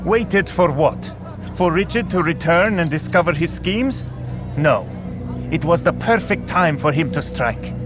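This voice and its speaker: A man's voice: fairly high pitch, expressive, measured pace, over music. A man playing a friendly, assured informant of an assassin order in a dramatic video game, speaking emphatically.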